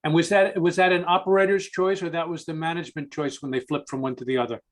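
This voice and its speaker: Male Q&A moderator, lightly raised pitch, casual halting delivery, relaying questions. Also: fairly even intonation. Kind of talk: relaying audience questions informally.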